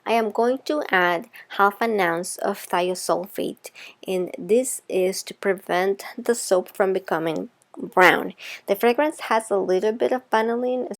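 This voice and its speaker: A woman from El Salvador with a Salvadoran Spanish accent, narrating a craft tutorial as its host. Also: medium pitch. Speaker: craft video host, soap maker.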